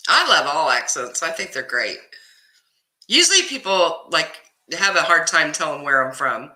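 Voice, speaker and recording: A woman's voice: low-pitched, very animated, moderate pace, pushed and bright, reverberant. A woman from Kansas with a Kansas accent, hosting a casual live stream, reading viewers' comments and answering them by name, often laughing. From Kansas, US Midwest. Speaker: livestream host reading chat.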